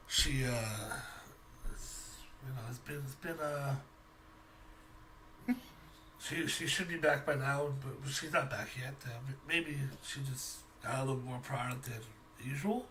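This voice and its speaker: A man's voice: mid-range voice, measured pace, bright, forceful tone, slight background noise, rough recording. Man playing a hesitant, worried father. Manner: hesitant, stammering, repeating himself. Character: worried, polite older father of a missing daughter.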